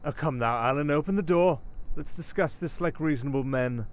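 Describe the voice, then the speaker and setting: A man playing a sly, menacing werewolf gang leader in an ASMR roleplay, smooth-talking and mocking with false politeness. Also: a little high, a little fast, dry recording, close to an everyday voice. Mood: falsely polite. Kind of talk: ASMR roleplay villain acting.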